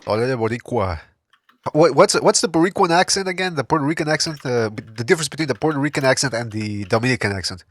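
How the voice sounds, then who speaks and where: A man's voice: mid-range voice, animated, fast talker, a bit bright, no room sound, clean studio sound. A man hosting a call-in podcast, laid-back and joking as he takes calls and reads the chat. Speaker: podcast host. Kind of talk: casual call-in show hosting.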